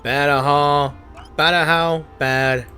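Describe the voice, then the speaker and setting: A man's voice: on the high side, fairly even intonation, takes it slowly, sharp tone, faint music behind. A man giving casual, playful and teasing commentary as he streams a game playthrough. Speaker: gaming streamer.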